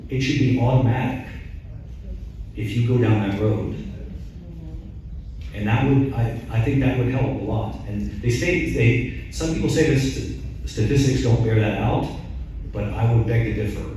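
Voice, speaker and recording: A man's voice: mid-range voice, unhurried, pauses often, mellow, slight background noise. A middle-aged priest answers audience questions at a talk. He is emphatic, asks rhetorical questions and often restarts. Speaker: priest and guest speaker. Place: large echoey church hall. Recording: very echoey hall, voice from far off.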